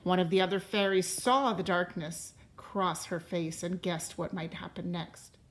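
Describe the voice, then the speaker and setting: A woman reading a storybook aloud to a class as a teacher, in a deliberate, expressive way. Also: on the low side. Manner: deliberate and expressive, pausing to question listeners.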